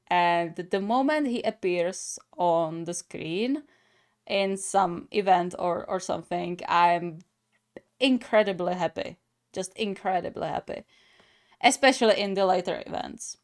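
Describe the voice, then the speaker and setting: A woman hosts a gaming reaction channel with casual, chatty commentary. She takes her time, speaking slowly at a middle pitch. Her manner is giggly and she often stops to qualify what she says.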